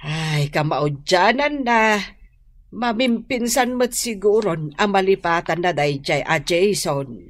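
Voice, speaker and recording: A woman playing a doting middle-aged mother in a radio drama, affectionate and gently teasing. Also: lowish voice, animated, slow, dry recording. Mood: pleased, motherly.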